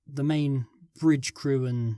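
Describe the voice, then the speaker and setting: A man's voice: medium pitch, steady tone, takes it slowly, dark tone, dry recording, studio quality. A man being interviewed as a scriptwriter, answering in a coy, evasive way. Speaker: scriptwriter guest. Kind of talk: casual interview.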